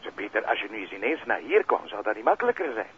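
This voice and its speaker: An actor playing a friendly, concerned police brigadier in a TV crime drama, colloquial and a little hesitant, with a Flemish accent. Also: male voice, fairly low pitch, very animated, fast talker, a little hiss or hum. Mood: familiar, concerned. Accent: Flemish Belgian. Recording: muffled, lo-fi broadcast audio.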